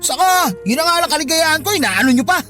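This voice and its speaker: A woman's voice: sits high, animated, fast, fairly bright tone, faint music behind. A woman with a Filipino accent playing a lazy, insolent adult child who bullies an elderly mother in a radio drama. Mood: defensive, resentful. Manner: argumentative, rude, demanding.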